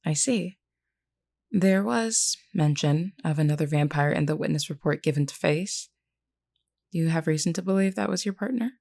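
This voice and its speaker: A low-voiced vampire queen played by a woman, composed and solemn, with a gravelly fry in her tone. She speaks formally and earnestly, like a caring leader in an audio drama, reassuring and measured. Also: a little mellow. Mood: calm, caring. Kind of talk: scripted character roleplay audio.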